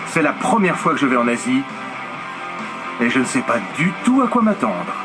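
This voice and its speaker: A man's voice: medium pitch, big pitch swings, moderate pace, natural voice. A middle-aged man dubbing a documentary subject in an earnest, heartfelt voice-over. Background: music.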